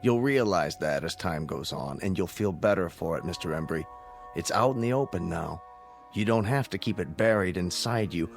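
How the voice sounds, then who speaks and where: Radio drama: composed psychiatrist, American, lower register, measured, probing, reflective, light music underneath. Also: male voice, animated, vocal fry. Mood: probing, reassuring.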